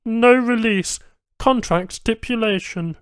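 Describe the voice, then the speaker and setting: A terse alien tech from a sci-fi audiobook, done by a man. The voice sits well up high and speaks in clipped, unyielding phrases, clinical and indifferent, recorded very dry. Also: slow, clean tone, no room sound. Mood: curt, clinical. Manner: clipped broken syntax, flat and simple. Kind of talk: audiobook character acting.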